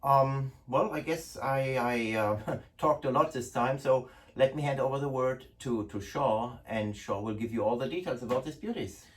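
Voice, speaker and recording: A man's voice: mid-range voice, normal speed. A middle-aged man with a German accent, hosting a casual product talk to camera in an indoor room, chatty with many restarts. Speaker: host, gun importer. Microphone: far camera. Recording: distant room sound.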